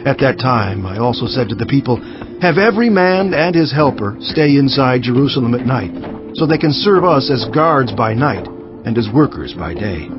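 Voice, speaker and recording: A man's voice: fairly high pitch, lo-fi sound, lightly acted. Man acting a solemn biblical governor, sweeping delivery. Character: resolute, indignant biblical governor recounting his own deeds. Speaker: narrator of dramatized audio Bible. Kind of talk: dramatized scripture narration.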